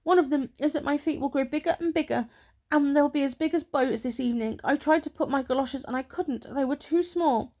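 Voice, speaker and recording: A woman's voice: high voice, fairly quick, a little mellow. A woman voicing a silly, gullible little boy in a storybook, wailing and whining in a frightened, babyish way. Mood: panicked wailing.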